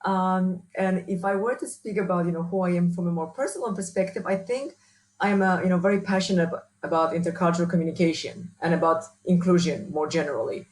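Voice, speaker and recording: A woman with a strong Polish accent answering interview questions as a researcher and trainer, often restarting and filling pauses. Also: on the low side, a little mellow, a little hiss or hum, low-quality recording. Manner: hesitant, many restarts and fillers. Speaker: workshop speaker, researcher.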